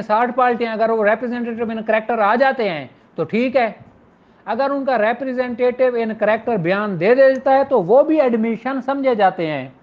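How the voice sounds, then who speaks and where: A man with a Pakistani accent lecturing in an informal, didactic way, reading out formal text and explaining it, mixing in English terms. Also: very high-pitched. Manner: didactic, informal, switches between Urdu and English legal terms. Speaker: law lecturer. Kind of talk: explanatory lecture, reading statutes aloud then explaining them. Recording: dull lo-fi audio.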